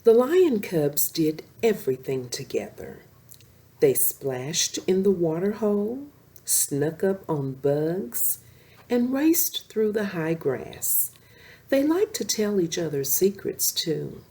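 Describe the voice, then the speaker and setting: Slow, expressive, mellow woman storyteller. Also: lowish voice. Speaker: children's storyteller and host. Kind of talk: expressive read-aloud storytelling for young children.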